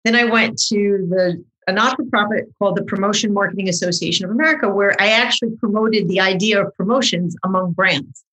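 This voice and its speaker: A middle-aged woman with a middle-pitched voice, chatting animatedly as an interview guest. Her first language was French, and she tells her story in quick bursts, often backing up and restarting her sentences. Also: a bit bright, low-quality recording. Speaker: marketing consultant guest. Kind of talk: conversational interview.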